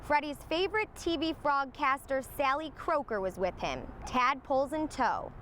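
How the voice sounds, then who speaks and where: A woman narrates a children's storybook expressively. Her voice sits high and rises and falls a great deal. There is clear noise in the background. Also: normal speed. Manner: expressive read-aloud. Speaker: storybook reader, meteorologist.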